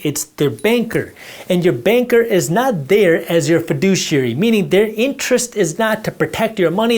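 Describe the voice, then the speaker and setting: A man's voice: sits high, a little mellow. A man whose first language is Punjabi, teaching personal finance in a direct, emphatic way while drawing on a board and speaking straight to the viewer.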